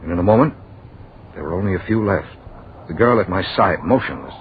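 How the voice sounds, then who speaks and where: A noisy, phone-like vintage radio recording of a young man, haunted and confused. He narrates in halting fragments, dramatically repeating words, with his pitch swinging widely as in 1940s radio acting. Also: lively intonation. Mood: haunted, awed. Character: bewildered, haunted young man recounting a mad adventure.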